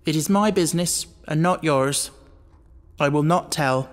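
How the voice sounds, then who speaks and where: A man's voice: on the high side, measured pace, big-room sound, close to an everyday voice. A man voicing an agitated, evasive butler who pleads deferentially, in an audiobook of a classic mystery. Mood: defiant.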